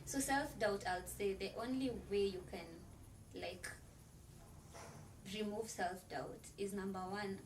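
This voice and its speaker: A woman's voice: medium pitch, measured pace, a little hiss or hum. Earnest woman guest, distant mic. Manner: earnest, preacherly, counts points as "number one"; loose filler-heavy phrasing with frequent "like". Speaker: podcast guest. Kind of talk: faith-based motivational conversation.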